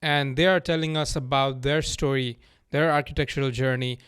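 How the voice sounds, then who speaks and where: A man hosting a podcast, talking in a relaxed, friendly way with frequent laughs. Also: mid-range voice, normal speed, clean tone, no echo, clean studio sound. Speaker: podcast host. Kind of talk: casual podcast chat.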